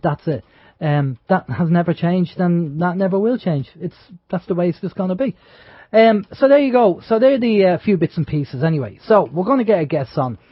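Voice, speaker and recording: An Irish man hosts a talk radio show in a fairly high voice, rambling along with lots of ums and restarts. The sound is dry and a little dull, with no room around it. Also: expressive, normal speed, no echo. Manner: rambling, many fillers and restarts. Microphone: studio microphone. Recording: dull, lo-fi internet radio audio.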